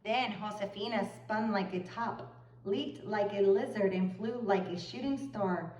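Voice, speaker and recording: A woman storyteller reading a children's picture book aloud in an expressive narrating style. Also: mid-range voice, unhurried, slight background noise. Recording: roomy echo, far from the mic.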